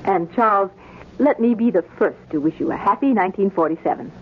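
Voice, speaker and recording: An actress playing a gentle, friendly, coaxing nurse in a 1940s radio drama. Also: female voice, medium pitch, expressive, fairly quick, lightly acted. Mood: warm, coaxing. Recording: noisy, tinny old radio recording.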